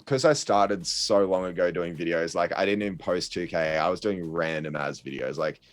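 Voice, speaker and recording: A man's voice: sits low, moderate pace. A young man talking casually as a podcast guest, hesitant, with many ums and restarts. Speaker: guest content creator.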